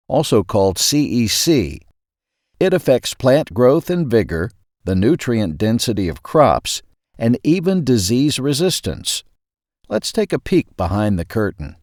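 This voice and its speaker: A man's voice: mid-range voice, a little mellow, no room sound, studio quality. A man reading a scripted explanatory article as the host, articulating carefully. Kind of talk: scripted narration.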